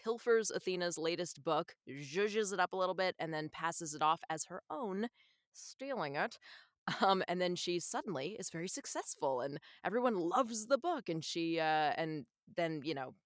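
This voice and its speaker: A woman's voice: on the low side, animated, normal speed, no echo, studio quality. A woman speaking as an interview guest in a casual, chatty, effusive way. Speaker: audiobook narrator, interview guest.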